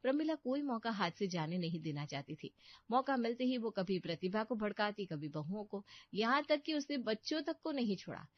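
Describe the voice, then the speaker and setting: A woman's voice: medium pitch, very animated, moderate pace, no echo, highs cut off. A woman giving scripted storytelling narration for a family tale.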